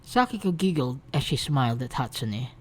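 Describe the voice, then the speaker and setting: A woman narrating a fan fiction story in a soft, unhurried way. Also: rumbling low, very animated, slight background noise.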